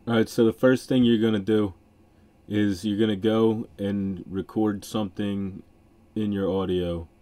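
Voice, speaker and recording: A man hosting a casual software tutorial in an informal, offhand way. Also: lowish voice, steady tone, unhurried. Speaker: music software tutorial host. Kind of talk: casual screen-recording tutorial.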